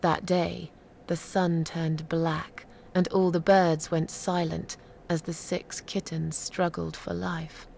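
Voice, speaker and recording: An actress plays an eerie, sardonic woman telling a grim legend. Her voice is lowish and full of creak, moving slowly and deliberately, often sinking toward a whisper in dramatic horror style. There is faint noise behind her. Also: flat delivery, darkish tone. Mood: ominous.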